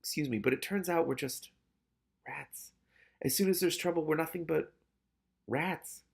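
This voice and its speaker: Man voicing a gentle sad little rat, creaky. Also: on the high side, normal speed, pitched above the performer's own voice. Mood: miserable. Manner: polite, sorrowful, sincere. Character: gentle, earnest, melancholy little male rat sage.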